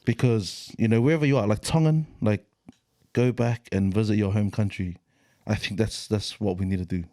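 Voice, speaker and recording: A young man with a New Zealand accent in casual podcast chat, relaxed and slangy, leaning on "like" and "bro". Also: lowish voice, normal speed, no echo, clean studio sound. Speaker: podcast co-host.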